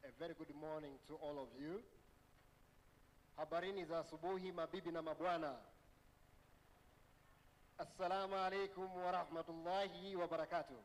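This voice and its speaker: Young man, Tanzanian accent, high-pitched, formal read speech, long reverb of a tent PA. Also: fairly even intonation, fairly quick, pauses often. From Zanzibar, Tanzania. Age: young adult. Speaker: graduating class representative. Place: large event tent. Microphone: handheld microphone. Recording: reverberant PA sound in large tent.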